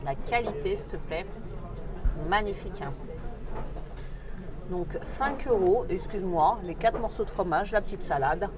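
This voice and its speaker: A woman's voice: medium pitch, animated, unhurried, long pauses, background noise. A woman giving a casual, chatty commentary as she tastes food for a vlog. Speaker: vlogger reviewing a restaurant meal. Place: busy restaurant at a theme park. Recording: restaurant crowd chatter behind.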